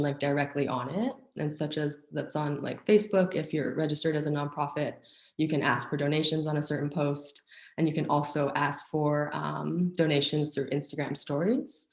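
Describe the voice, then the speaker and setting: A young woman with a deep, low voice gives a conference talk while nursing a cold. She keeps it upbeat, with plenty of ums. The room around her rings with echo. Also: steady tone, normal speed. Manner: upbeat, filler-heavy presenting. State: has a cold and cough. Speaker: nonprofit communications officer. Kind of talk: online conference presentation.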